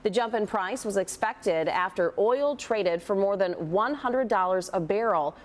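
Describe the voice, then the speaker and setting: A woman's voice: mid-range voice, unhurried. A woman presenting morning TV news as an anchor, in a conversational style.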